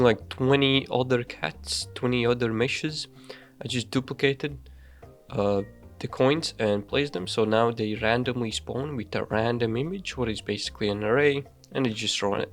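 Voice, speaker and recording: Male devlog narrator, casual and self-mocking, mid-range voice. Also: mid-range voice. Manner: loose and offhand, frequent swearing and fillers; self-deprecating, hurried. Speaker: game dev YouTuber.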